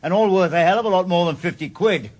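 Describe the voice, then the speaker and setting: A man's voice: sits high, fast, dry recording, natural voice. A man playing a stubborn Welsh ex-detective. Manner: dry and probing. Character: retired male ex-detective, stubborn and sardonic. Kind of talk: radio drama acting.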